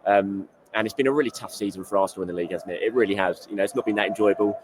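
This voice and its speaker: Excitable football host, low-voiced and going hoarse, rattling off a post-match report at speed in an emptying stadium. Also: male voice, noisy background. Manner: fast, rambling and elated. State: losing his voice. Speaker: football journalist and show host.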